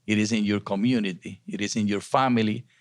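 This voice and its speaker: A man introducing a lecture series as an academic host, speaking earnestly and deliberately in short emphatic phrases. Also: fairly low pitch, steady tone, no room sound, clean studio sound.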